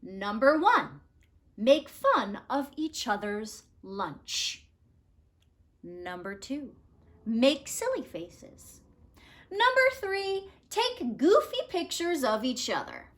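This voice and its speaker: A woman narrating a children's storybook aloud in an expressive, measured way with dramatic emphasis. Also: sits high. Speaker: storybook reader.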